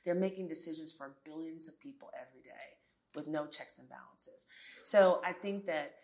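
A woman in her forties with a low-pitched voice speaks as an interview guest. She talks earnestly and emphatically, often restarting her sentences and adding asides. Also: normal speed, a little mellow. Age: 40s. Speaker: guest, tech entrepreneur. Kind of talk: conversational interview.

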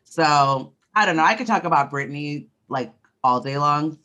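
A woman with a deep voice co-hosting a podcast, chatting frankly and speculating, with the odd swear. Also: animated, moderate pace, sharp tone. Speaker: podcast co-host. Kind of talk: casual chat.

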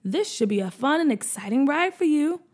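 A woman's voice: high voice, lively intonation, moderate pace, a little mellow, pitched above the performer's own voice. A woman voicing a friendly male airline pilot in a children's story. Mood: welcoming.

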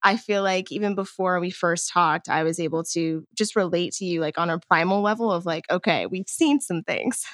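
A woman's voice: lowish voice, normal speed, hardly any pauses, a bit bright, no echo. A woman hosting a podcast in a conversational interview style. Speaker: podcast host.

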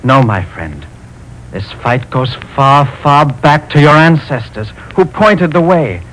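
An actor playing Goodwill, an earnest, stern and kindly spirit who lectures a couple, in a 1940s radio play. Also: male voice, mid-range voice, expressive, slow, fairly bright tone, rough recording. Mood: stern preaching. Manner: earnest, sermonizing, commanding. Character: Goodwill, a wise preachy man, stern and kindly.

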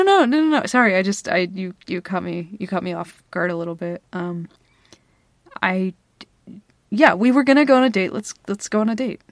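A woman plays a flustered young woman with a crush on her best friend, in an intimate ASMR audio roleplay. She speaks slowly and hesitantly, stammering in a giggly way. Her voice is full of vocal fry and sounds very dry and close. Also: mid-range voice, dry recording, close to an everyday voice. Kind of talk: ASMR girlfriend roleplay, intimate one-sided dialogue.

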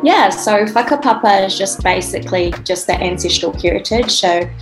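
A woman, speaking at a middling pitch as a podcast guest. She talks casually and a bit hesitantly, and clear instrumental music plays behind her. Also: normal speed. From New Zealand. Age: 20s. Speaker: guest, psychology student and artist. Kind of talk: interview answers.

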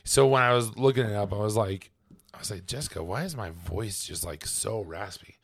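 Hoarse, raspy man, low voice. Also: no room sound, studio quality. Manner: halting, with many ums and trailing off quietly. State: hoarse from laryngitis. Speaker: podcast co-host. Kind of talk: casual chat. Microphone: podcast microphone.

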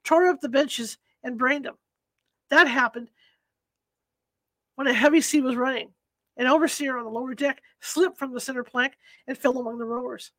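A woman's voice: high voice, a bit bright, no echo. A woman reading aloud as a dreamy young man who speaks absently, as if half in a vision. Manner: absent-minded, distant storytelling.

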